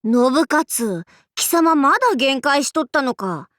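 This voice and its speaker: Casting: young female demon-king warlord, anime game. High, swooping delivery; haughty, archaic, cocky, with a goofy streak. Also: sing-song, slow, fairly bright tone, dry recording, clean studio sound, heavily acted. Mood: breezy, teasing. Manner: archaic lordly speech, haughty, abruptly switching to goofy. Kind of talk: Japanese anime game character voice lines.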